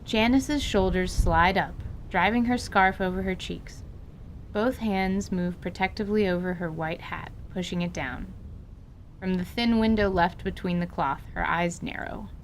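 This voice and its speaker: A woman's voice: lowish voice, measured pace, a little hiss or hum. A woman reading scripted story narration as the narrator of an audio drama. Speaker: narrator and voice actor.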